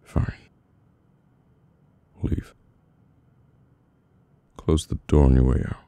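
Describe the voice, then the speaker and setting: A man with a British accent playing a cold, dominant mafia boss in an ASMR audio roleplay, speaking in a measured, commanding way with long deliberate pauses. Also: extremely low pitch, flat delivery, mellow, clean tone, dry recording, full character voice. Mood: dismissive, commanding. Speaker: voice actor. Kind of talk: ASMR audio roleplay, slow dramatic monologue.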